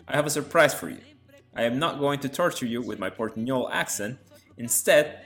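A man, a native Spanish speaker, narrating and explaining song lyrics as a host in a measured tone. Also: medium pitch, fairly bright tone. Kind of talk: scripted narration.

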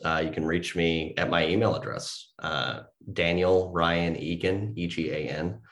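Young man, very low voice, rambling casual chat, home office, echoey room. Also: a little slow. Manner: rambling, animated, fillers like "like" and "you know". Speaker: composer guest. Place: home office between two windows. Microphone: home mic setup.

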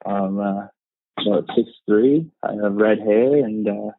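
A man's voice: fairly low pitch, measured pace, creaky, dry recording. A man in his thirties with a Canadian accent, a podcast guest chatting casually in a rambling way with lots of fillers. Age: 30s. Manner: rambling, many fillers and laughs. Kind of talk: casual chat. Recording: tinny phone-like line.

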